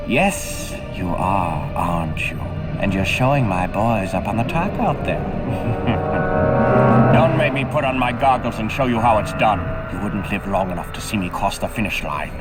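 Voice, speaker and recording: A man's voice: lowish voice, animated, natural voice. An actor playing a suave, menacing villain racer in a game, drawing out his words with smug condescension. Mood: veiled menace. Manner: drawn-out, silky condescension. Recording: music behind the voice.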